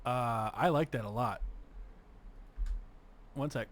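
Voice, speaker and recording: A man's voice: medium pitch, slow, no room sound. Young male streamer, stuffy nose, cusses freely, narrates to himself while producing music. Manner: swears freely, mutters to himself while working. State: congested, sniffling. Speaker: streamer making a music remix. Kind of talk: casual livestream chat.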